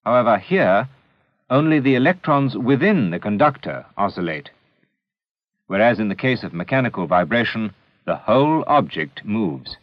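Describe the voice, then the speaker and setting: A man narrating a scripted educational film in a measured, deliberate, didactic manner, on an old 16mm film soundtrack. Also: on the low side, big pitch swings, no echo, dull audio.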